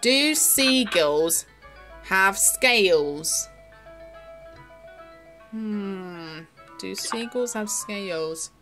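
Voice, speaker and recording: A woman's voice: medium pitch, animated, very slow, long pauses, pushed and bright. A woman presenting a casual product demonstration as a toy reviewer. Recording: electronic toy music behind the voice. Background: music from a children's toy laptop.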